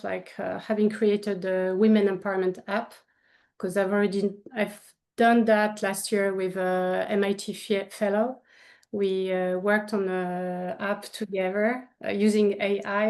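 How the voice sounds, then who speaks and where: A woman with a French accent whose voice has a gravelly, creaky edge, speaking at a middling pitch. She talks in a loose, animated way, full of fillers and restarts. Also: a little slow. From France. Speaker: artist guest. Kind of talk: casual interview conversation.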